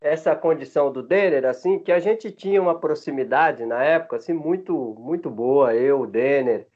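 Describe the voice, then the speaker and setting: A man in his fifties from Minas Gerais with a Minas Gerais Brazilian accent, telling stories as a former footballer in a relaxed interview, chatty and often laughing between halting restarts. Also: on the high side. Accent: Minas Gerais Brazilian Portuguese. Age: 50s. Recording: dull lo-fi sound.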